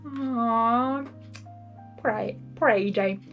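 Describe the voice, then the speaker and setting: Female reader-commentator, highish voice, expressive and rambling, music underneath. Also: drawn-out words, lo-fi sound. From Hungary. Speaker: fan fiction reader and commentator. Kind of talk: blind reading with casual reaction commentary.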